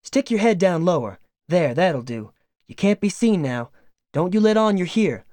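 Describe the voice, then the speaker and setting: A man's voice: high voice, big pitch swings, normal speed, darkish tone, no room sound, clean studio sound, voice pushed up. A man putting on a gushing, fretful farm wife. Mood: eager. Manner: gushing, breathless run-on chatter. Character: fretful, excitable middle-aged farm wife.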